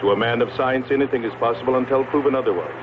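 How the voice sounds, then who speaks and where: Male actor as level-headed expedition commander, mid-range pitch, vintage sci-fi movie, dull sound under heavy noise. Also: rapid-fire, natural voice. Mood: reasoning, open-minded. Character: reasoning, steady male space expedition leader. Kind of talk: 1960s science fiction film acting, dubbed. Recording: muffled, very noisy old film soundtrack.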